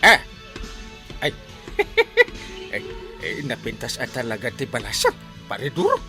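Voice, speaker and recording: Giggly flirtatious village captain. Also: male voice, wildly swooping pitch, slow pace, over music. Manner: giggly, stammering "eh", big leaps in pitch. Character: jolly flirtatious village captain who loves drinking. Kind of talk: radio comedy drama acting.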